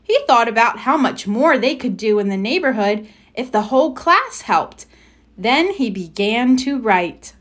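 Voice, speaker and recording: Female children's storybook narrator, high, animated, expressive read-aloud. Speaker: storybook reader.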